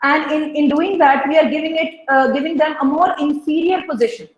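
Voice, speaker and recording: A woman's voice: sits high, brisk, highs cut off. A woman from Punjab, speaking at a webinar over a video call in an earnest, reflective way with many "you know" fillers. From Punjab, Pakistan. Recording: roomy echo.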